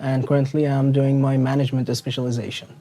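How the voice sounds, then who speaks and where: A man's voice: mid-range voice, flat delivery, quick pace, dark tone. A young man from Kuwait, chatting casually as a student guest on a panel. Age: young adult.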